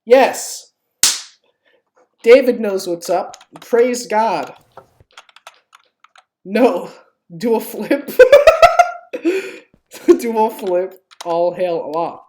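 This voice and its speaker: A woman's voice: medium pitch, animated, drawn-out words, pauses often, warm tone. A woman giving casual live game stream commentary, informal and sweary, jokingly pleading with viewers. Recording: clearly noisy home stream, keyboard clicks.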